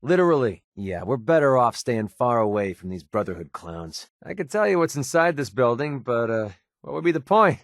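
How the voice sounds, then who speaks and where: Game companion: a guarded young American gun-for-hire, wry and conversational, medium pitch, dry studio sound. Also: male voice, sing-song, normal speed, hardly any pauses, no echo. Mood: irritated, then sardonic. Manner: sardonic, conversational, hesitant asides. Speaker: video game companion character. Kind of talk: video game voice acting.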